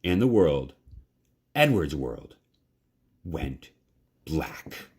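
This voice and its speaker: A man reading a children's story aloud in a measured, dramatic, expressive narration. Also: extremely low pitch, husky.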